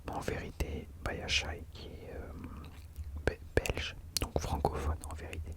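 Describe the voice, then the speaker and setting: A man speaking in an unvoiced whisper, soft and informal, chatting as the host of an ASMR video into a close microphone. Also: normal speed. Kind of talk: whispered ASMR talk about rap.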